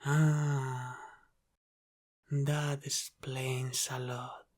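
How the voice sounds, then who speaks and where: A man playing a teasing, menacing mafia boss with an Italian-American accent in an ASMR roleplay, speaking deliberately and sometimes dropping into a whisper. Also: medium pitch, little pitch movement. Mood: teasing, probing. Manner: slow, deliberate, teasing, with breathy sighs and whispered phrases.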